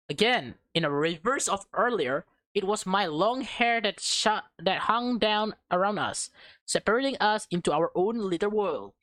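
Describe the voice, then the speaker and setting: A woman's voice: medium pitch, a bit bright, dry recording. A woman reading a visual novel aloud as a let's player, stumbling over and repeating words, with slangy, casual reactions.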